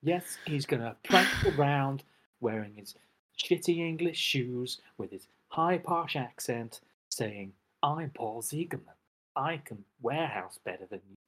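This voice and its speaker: A gossiping warehouse worker who spreads the news in a conspiratorial hush, as a man plays him in an improv scene. His voice is husky and sits mid-range. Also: mid-range voice, expressive, a little slow, low-quality recording. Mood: scornful.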